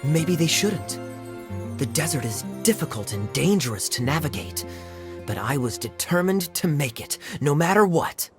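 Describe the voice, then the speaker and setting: A woman voicing a calm, determined desert bandit in a video game fairy tale, earnest and composed. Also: low-pitched, normal speed, over music. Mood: resolute.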